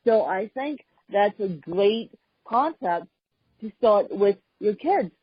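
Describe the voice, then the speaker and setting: A woman hosts a podcast chat in a husky voice, speaking very slowly. She talks haltingly, stopping often and filling gaps with "um". The recording is dry and a bit dull. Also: mid-range voice, animated, no echo. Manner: halting, with frequent pauses and fillers. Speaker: podcast host. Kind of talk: casual conversational podcast chat.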